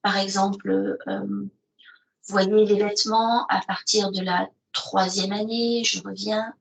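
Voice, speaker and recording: A woman's voice: medium pitch, level tone, slow pace, creaky, rough recording. A woman presenting educational resources in an online session, hesitant with many fillers. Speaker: presenter of an educational video platform. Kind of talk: webinar presentation with screen sharing.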